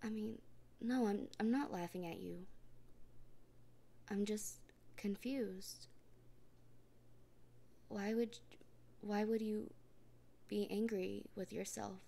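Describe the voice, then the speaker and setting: A woman in a soft, gently reassuring comfort roleplay, often speaking in a whisper. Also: mid-range voice, fairly even intonation, slow pace, gravelly, long pauses. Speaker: roleplay performer speaking as a partner.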